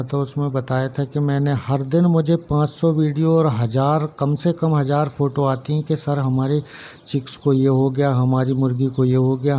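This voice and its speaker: Mellow-voiced man explaining poultry farming. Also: fairly high pitch, slight background noise. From central India. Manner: earnest, explanatory, lists items one by one. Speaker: poultry farmer and channel host. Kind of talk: casual instructional talk to camera.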